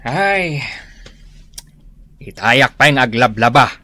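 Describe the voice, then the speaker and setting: A man's voice: mid-range voice, animated, slow, bright, forceful tone. A man playing an aggrieved older father with an Ilocano accent, stuck with the housework, in a comedy radio drama. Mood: grumbling, aggrieved.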